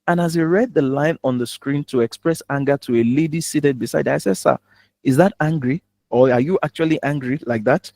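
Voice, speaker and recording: A man's voice: a little high, brisk, creaky, darkish tone, no room sound. Nigerian man coaching in a dry, close sound. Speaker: public speaking coach. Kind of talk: live instructional talk.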